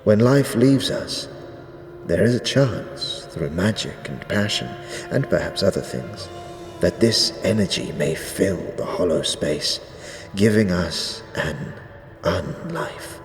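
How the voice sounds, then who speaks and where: A man playing an ancient, zealous skeleton high priest in a fantasy audio drama, speaking gravely in archaic, ceremonious phrases. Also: expressive, soft background music, heavily acted. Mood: measured, somber. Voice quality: deep, drawn-out delivery. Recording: echoey reverberant hall, faint noise.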